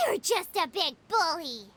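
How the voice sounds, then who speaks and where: Squeaky, excitable little fairy girl. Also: noisy background, heavily acted. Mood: indignant. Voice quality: squeaky, childlike. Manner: speaks of herself in third person, outspoken. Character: tiny childlike female fairy companion, indignant and chirpy. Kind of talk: video game voice acting.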